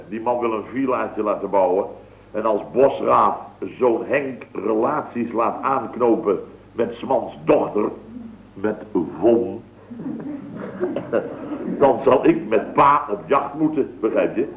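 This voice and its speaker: A man's voice: on the low side, unhurried, vocal fry. An actor playing a boastful, wisecracking man at a building firm, telling a rambling comic anecdote in a 1960s radio sketch. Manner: chatty, rambling anecdote with punchy comic asides. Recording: tinny, noisy, echoey old radio broadcast.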